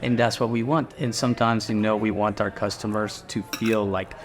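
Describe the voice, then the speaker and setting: A man's voice: on the low side, fairly even intonation, normal speed. A middle-aged man from Guatemala, a restaurant owner, chatting casually in an interview and rambling a little with fillers and restarts.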